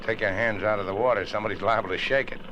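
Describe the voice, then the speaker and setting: A man's voice: lowish voice, steady tone, very fast, close to an everyday voice. Man acting a dry hardboiled hero, muffled radio sound. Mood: wary, dry. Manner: dry, sardonic. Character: tough, unflappable, wisecracking boat-owner hero held at gunpoint. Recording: muffled old radio recording, faint noise.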